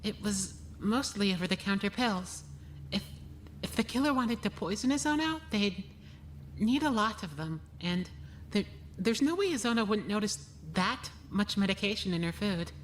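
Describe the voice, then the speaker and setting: A woman voicing a timid, careful young character with a halting delivery in an animated mystery. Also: medium pitch, natural voice. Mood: earnest, unsure. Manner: halting, stammering. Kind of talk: animated murder-mystery voice acting. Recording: faint background noise.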